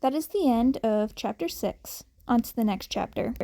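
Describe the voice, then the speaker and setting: A woman's voice: a little high, animated, normal speed, warm tone. A woman reading a fan fiction story aloud as scripted audiobook narration. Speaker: podfic narrator.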